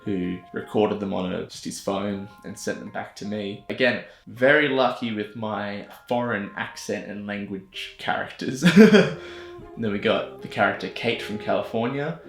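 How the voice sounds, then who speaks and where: Chatty Australian man, low and gravelly. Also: fairly even intonation, unhurried, fairly bright tone. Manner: chatty, self-deprecating, laughs easily. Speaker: filmmaker. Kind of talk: casual vlog narration. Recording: echoey room with music under it.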